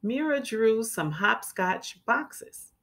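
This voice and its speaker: A woman reading a children's storybook aloud as a teacher would, expressively and with clearly enunciated words for young listeners. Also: on the high side, animated, slow pace, fairly bright tone.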